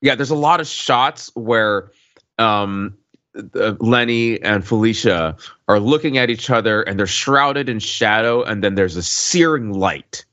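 A man with a low voice co-hosts a podcast, recorded close and dry with a somewhat dull tone. He unpacks films casually, in colloquial phrases and frequent asides, checking in as he goes. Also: unhurried, a bit bright, no echo. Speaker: podcast co-host. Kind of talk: casual film discussion. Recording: dull, muffled close microphone.

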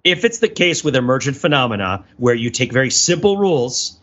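A man's voice: medium pitch, big pitch swings, fairly quick, a bit bright, dull audio. A man co-hosting a casual analytical podcast, talking in a discursive way and thinking aloud as he qualifies his points. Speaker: podcast co-host.

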